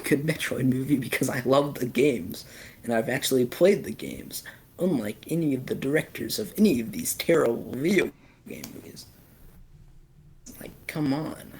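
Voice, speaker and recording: A man in a casual podcast chat, giving blunt opinions in a halting way and often repeating his phrases. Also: mid-range voice, vocal fry, darkish tone, slight background noise. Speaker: podcast host discussing films and games.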